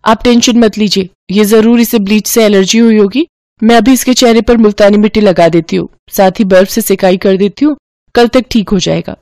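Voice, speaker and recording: Woman voicing young daughter-in-law, scheming, sweet-talking, cartoon drama, expressive, mid pitch. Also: brisk, no echo, lightly acted. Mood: sugary, helpful.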